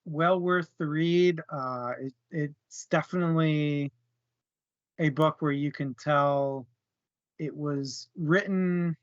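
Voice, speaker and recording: A man's voice: fairly high pitch, fairly even intonation, takes it slowly, no room sound, dull audio. A man casually discussing a book as a co-host, hesitant and searching for words, with small chuckles. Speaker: book club podcast co-host.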